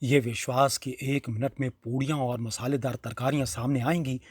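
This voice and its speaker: A man reading a literary short story as its narrator, in an expressive storytelling style. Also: medium pitch, normal speed, breathy edge, no room sound, clean studio sound.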